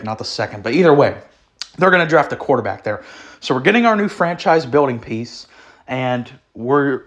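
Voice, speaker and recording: Young male sports vlogger, sick, middle pitch, blunt and rambling with frequent throat clearing. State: sick, voice sounds off. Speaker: sports channel host. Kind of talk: casual opinion talk on football.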